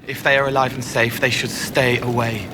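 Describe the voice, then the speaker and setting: An actor playing a haunted, gentle young male spirit in a fantasy video game, speaking in halting, poetic fragments. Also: medium pitch, level tone, natural voice. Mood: guilty, haunted. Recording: game audio with noisy ambience behind.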